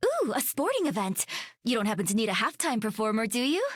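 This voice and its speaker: A playful pop-star hero. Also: female voice, fairly high pitch, huge pitch swings, fast, dry recording, clean studio sound. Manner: playful, teasing. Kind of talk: video game voice acting.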